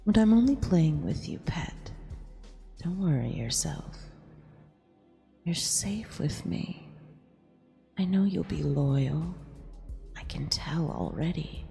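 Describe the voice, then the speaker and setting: A woman playing a mean, possessive eldritch empress in a half-whispered ASMR roleplay, condescending yet affectionate, with a breathy, creaky delivery. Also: sits low, animated, dark tone, exaggerated voice. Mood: amused, doting. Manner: slow, deliberate, condescending purr. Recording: long reverb with music underneath.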